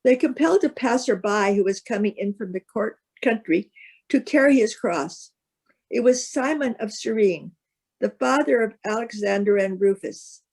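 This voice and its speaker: A woman's voice: mid-range voice, animated, normal speed, vocal fry, darkish tone, slightly rough. A woman reading a gospel passage aloud as scripted narration. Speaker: scripture reader.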